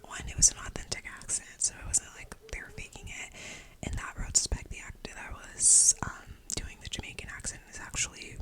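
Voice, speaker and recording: A young woman giving a casual, informal movie review, whispering nearly the whole time in a breathy, unvoiced voice. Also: a little fast. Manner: informal, slangy, rambling. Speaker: film reviewer.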